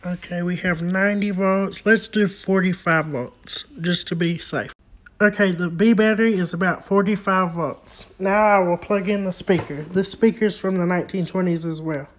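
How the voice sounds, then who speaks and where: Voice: mid-range voice, steady tone, moderate pace, a little hiss or hum. A hobbyist host giving a hands-on repair demonstration, explaining each step in a plain way. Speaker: vintage radio repair hobbyist and video host.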